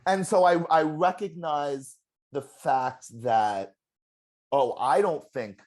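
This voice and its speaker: A former game contestant in a casual exit interview, telling stories in an animated, self-deprecating way with a loud voice. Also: mid-range voice, slow pace. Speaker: eliminated contestant, juror.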